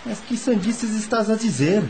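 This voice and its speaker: An actor playing an excitable young gentleman, a devoted spiritualist, expressive and formal in a radio drama. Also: high voice, normal speed. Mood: indignant. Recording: background noise, ambience effects.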